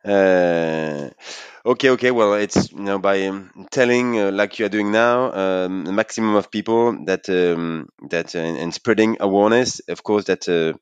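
A man's voice: low voice, normal speed, clean tone, no room sound, lo-fi sound. A man hosting an interview, asking questions hesitantly with stumbling restarts. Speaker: interviewer, podcast host.

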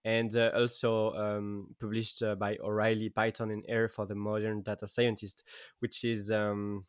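A man's voice: fairly low pitch, level tone, measured pace, clear, resonant tone, dry recording, clean studio sound. A man hosting a podcast, asking questions in a casual interview, with many fillers and restarts. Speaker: podcast host.

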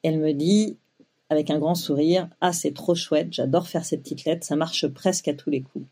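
A woman's voice: sits low, fairly even intonation, moderate pace, dark tone, breathy edge. A woman in her forties telling her own story as an interview guest, with many fillers and restarts. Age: 40s. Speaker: guest, web publisher.

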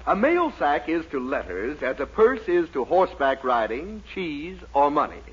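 A man's voice: a little high, big pitch swings, moderate pace. A man playing a fussy, wryly indulgent postal examiner in a formal 1940s radio sitcom manner. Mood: officious. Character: fussy, amused, exasperated male postal examiner. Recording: muffled, very noisy 1940s radio recording, loud audience.

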